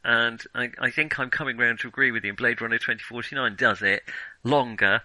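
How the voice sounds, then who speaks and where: Male film podcast host, very bright sharp voice, dry close sound, opinionated and halting in casual discussion. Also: mid-range voice, steady tone, dry recording, low-quality recording. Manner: hesitant, self-interrupting, opinionated. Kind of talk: casual film criticism chat.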